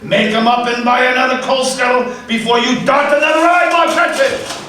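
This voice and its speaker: An actor playing an old miser who has turned jolly and generous, in a live radio play. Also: male voice, very high voice, steady tone, moderate pace, pushed and bright, a little hiss or hum. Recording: echoey room, far from the mic.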